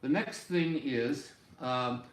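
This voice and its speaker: Older guy in his 60s teaching music, takes it slow, step by step, kinda echoey room. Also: medium pitch. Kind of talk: informal instructional explanation at a whiteboard. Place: small cluttered indoor music room. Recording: roomy, echoey home room sound.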